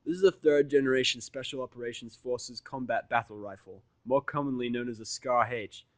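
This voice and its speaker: A man's voice: mid-range voice, a little fast, dry recording, close to an everyday voice. Guy playing cocky sweary human in a fanfic drama, sarcastic, argumentative, dry recording, normal mid voice. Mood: bluffing. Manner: brash, sarcastic, confrontational. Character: defiant foul-mouthed human man, bluffing and scolding.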